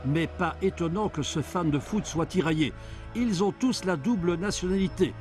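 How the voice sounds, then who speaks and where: A man's voice: sits high, moderate pace, a little mellow, soft background music. A man reading scripted investigative narration in a wry, ironic manner. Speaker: documentary reporter and narrator.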